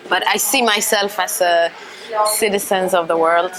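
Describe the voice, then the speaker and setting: A woman's voice: on the low side, fairly even intonation, normal speed, a crowd in the background, poor audio. Thoughtful young woman dancer, Swedish-raised. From Sweden. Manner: earnest, thoughtful, with frequent "huh?" tags. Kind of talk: reflective interview monologue. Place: cafe or restaurant interior. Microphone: lapel mic.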